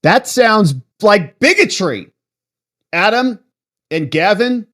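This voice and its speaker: A man's voice: sits high, expressive, slow, a bit bright. Sarcastic male political commentator. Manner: mocking, sarcastic. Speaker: host of a political commentary show. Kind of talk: casual political commentary on a livestream.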